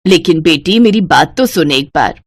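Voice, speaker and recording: A woman's voice: sits low, lively intonation, normal speed, breathy edge, heavily acted. Woman playing a coaxing, motherly older mother-in-law. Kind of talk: Hindi cartoon story dialogue.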